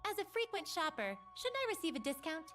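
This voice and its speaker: A woman's voice: very high voice, very animated, fast, a bit bright, faint music behind, full character voice. An actress voicing a cheerful, sarcastic young woman mage as playful, witty lines in a video game. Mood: wry, bright.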